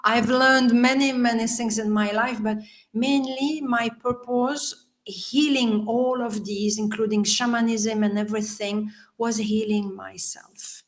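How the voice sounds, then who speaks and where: A woman's voice: fairly high pitch, fairly even intonation, a little slow, few pauses. A woman from Belgium with a Belgian French accent, a native French speaker, chatting as a podcast guest over a video call, laughing often and phrasing things in a non-native way. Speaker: podcast guest, healer and life coach. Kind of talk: casual interview conversation.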